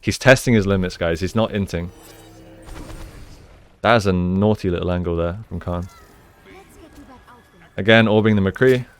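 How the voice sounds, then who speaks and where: A man's voice: low-pitched, moderate pace, pauses often, clear, resonant tone. A man giving casual, explanatory gameplay commentary as an esports coach, using gamer slang. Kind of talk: live gameplay commentary and breakdown.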